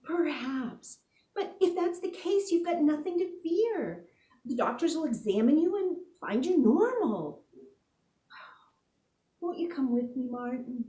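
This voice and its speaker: A woman's voice: high-pitched, lively intonation, a little slow, dark tone. A woman playing a loving, earnest woman who coaxes and pleads, in 1940s radio melodrama style. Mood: gently coaxing. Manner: earnest, coaxing. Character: loving, pleading woman urging a fugitive to surrender. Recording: roomy, echoing stage sound.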